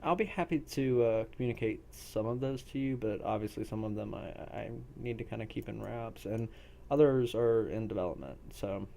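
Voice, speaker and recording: A man speaking as a game master, explaining a role-play session in a deliberate, measured way. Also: lowish voice, gravelly, mellow.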